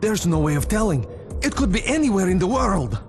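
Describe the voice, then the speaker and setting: Earnest, higher-voiced hero technician. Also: male voice, very animated, brisk, music in the background. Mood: serious, focused. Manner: matter-of-fact, briefing. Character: earnest male superhero tech expert, serious and capable. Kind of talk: video game drama acting.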